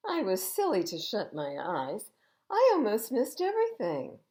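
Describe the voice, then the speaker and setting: An excited, friendly young storybook train engine, acted out by a woman reader. The delivery is emphatic and exclaiming, with big swoops in pitch. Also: measured pace. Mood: delighted relief.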